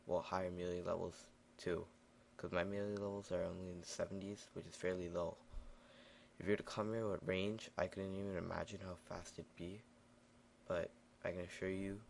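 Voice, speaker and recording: A young man recording a casual gameplay voiceover, raspy and tired in the morning, rambling with many fillers. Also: low voice, a little mellow, a little hiss or hum. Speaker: gaming video narrator.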